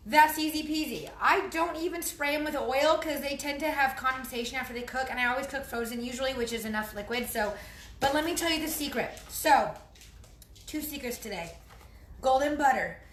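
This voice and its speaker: A woman fitness trainer chatting casually through a home cooking vlog, hoarse from losing her voice, rambling and clearing her throat. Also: sits high, a little fast, fairly bright tone, reverberant, slight background noise. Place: home kitchen.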